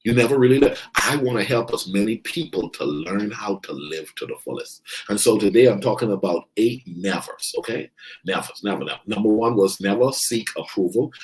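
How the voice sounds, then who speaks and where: A man's voice: on the low side, a little mellow, low-quality recording. A man from the Bahamas giving an emphatic motivational talk as a coach, repeating his key phrases for weight. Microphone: online video stream.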